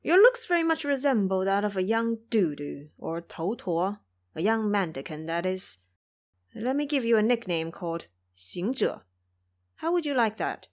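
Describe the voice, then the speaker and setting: A woman voicing a gentle, courteous male Buddhist monk, polite and formal, in an audio drama. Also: mid-range voice, lively intonation, a little slow, lightly acted. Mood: pleased, kindly.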